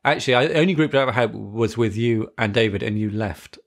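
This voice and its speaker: A man's voice: fairly low pitch, steady tone, fairly quick. A man co-hosting a podcast, chatting casually with emphatic, opinionated delivery. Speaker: podcast co-host. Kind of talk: casual panel chat.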